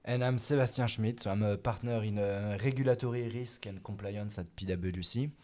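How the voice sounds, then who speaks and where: Man speaking haltingly with many fillers. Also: on the low side, darkish tone. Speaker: guest expert. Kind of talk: casual interview talk.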